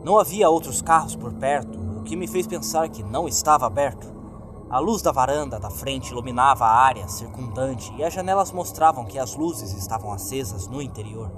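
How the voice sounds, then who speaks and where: Horror narrator: Brazilian man, lively mid-pitched delivery, dramatic scripted reading over clear music. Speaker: creepypasta narrator. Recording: clear music bed behind voice.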